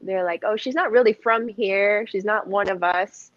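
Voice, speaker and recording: A woman's voice: mid-range voice, normal speed, dry recording. A young woman from the Philippines answering interview questions candidly, with frequent laughs and light fillers. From Philippines (Cebu). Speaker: pageant candidate, guest. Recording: dull muffled dry audio.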